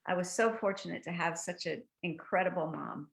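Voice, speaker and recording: An older woman, a guest actress, chatting in an interview over a video call, telling lively stories and laughing often. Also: lowish voice, a little fast, creaky. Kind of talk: casual interview chat.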